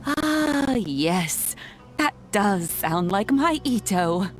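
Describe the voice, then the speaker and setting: A woman voicing an elderly oni granny in a game, fond and gently chiding. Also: medium pitch, very animated, very slow, faint music behind.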